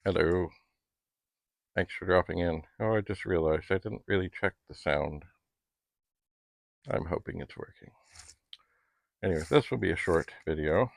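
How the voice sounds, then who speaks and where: A man's voice: low voice, a little slow, vocal fry, long pauses, dry recording. A middle-aged man talking casually to camera in a rambling, chatty, politely old-fashioned way, as a home vlogger. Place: basement at home.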